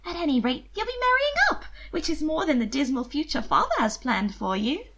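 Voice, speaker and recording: A high, sweetly wheedling young noblewoman, as a woman narrator plays her in a period romance story. She leans breathily on her words to get her way, lively and manipulative. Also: brisk, highs cut off, full character voice. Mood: coaxing.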